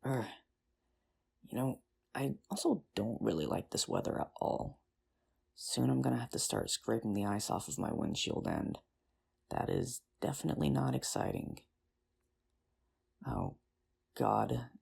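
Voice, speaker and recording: A performer in an ASMR roleplay playing a nerdy, earnest high school teacher, rambling with hesitant, self-deprecating charm in an intimate, conversational way. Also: animated, measured pace, creaky, long pauses, a little mellow, husky, exaggerated voice. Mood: mild grumbling.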